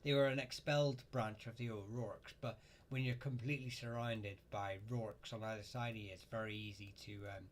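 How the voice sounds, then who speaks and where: Male host, live chat, middle-pitched and halting, faint hiss behind. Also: fairly even intonation. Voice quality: halting, lots of ums and uhs. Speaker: host answering viewer questions.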